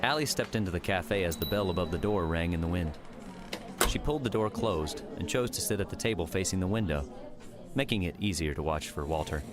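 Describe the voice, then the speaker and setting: A man narrating a scripted audiobook story in a measured, dramatic storytelling manner. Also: low-pitched, animated, a crowd in the background.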